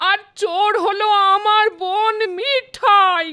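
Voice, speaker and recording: A woman's voice: extremely high pitch, slow pace, bright, forceful tone, full character voice. A woman voicing a jealous old grandmother in a cartoon, wailing and pleading in a whiny way. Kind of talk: cartoon voice acting.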